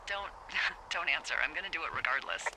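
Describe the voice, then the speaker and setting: Over a walkie-talkie with clear noise behind it comes a middle-aged American woman who supervises fire lookouts. She is playfully sardonic and conversational, teasing in an easy, natural video game style. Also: deep voice, animated, brisk. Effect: walkie-talkie radio. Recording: radio sound with clear background noise.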